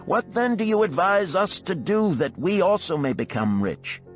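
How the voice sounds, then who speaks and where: A man's voice: big pitch swings, moderate pace, soft background music. A man voicing an earnest, doubtful male friend who seeks advice, speaking formally in a storybook parable. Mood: anxious, pleading. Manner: questioning, formal, raised-pitch earnestness. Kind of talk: dramatised storybook parable reading.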